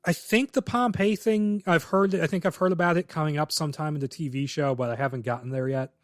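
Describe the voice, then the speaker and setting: A man co-hosting a casual podcast, walking through a script's plot in detail and offering frank, opinionated verdicts. His voice sits a little high for a man. The recording is close and dry, with no room sound. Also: fairly quick, no echo. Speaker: podcast co-host.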